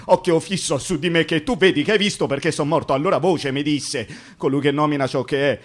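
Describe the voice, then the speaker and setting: A man's voice: a little high, a little fast, natural voice. A man with a Roman accent playing a dead construction worker in a theatrical radio monologue, bitter and tender, rambling colloquially with incantatory repetitions. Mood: bewildered, recounting. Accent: Roman Italian. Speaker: stage actor.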